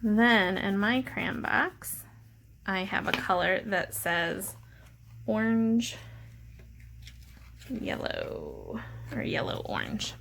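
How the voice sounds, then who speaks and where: A woman's voice: mid-range voice, very animated, extremely slow, creaky, pauses often, fairly bright tone, slight background noise. A woman teacher talking children through an art activity step by step, in simple explanatory language. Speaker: teacher leading a drawing lesson. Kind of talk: step-by-step instructional narration for children.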